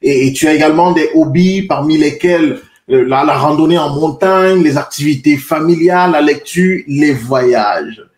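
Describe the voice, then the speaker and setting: Man, podcast host, earnest formal manner, repetitions, fairly high voice, echoey room. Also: normal speed, a little mellow. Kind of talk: podcast interview.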